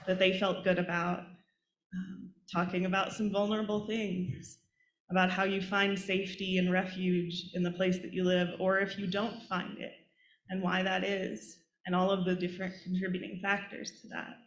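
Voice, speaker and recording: A woman from Appalachia, a poet speaking on a conference panel, heartfelt and soft-spoken. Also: medium pitch, flat delivery, moderate pace. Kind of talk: panel talk to a live audience. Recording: roomy hall echo.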